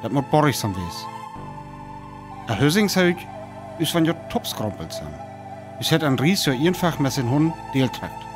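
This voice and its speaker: An actor with a North Frisian accent playing a weary lone survivor of an invasion in a radio play, telling it in sparse, dramatic phrases. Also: male voice, medium pitch, very animated, moderate pace, long pauses, over music, lightly acted. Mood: weary, wary. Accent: North Frisian (Föhr).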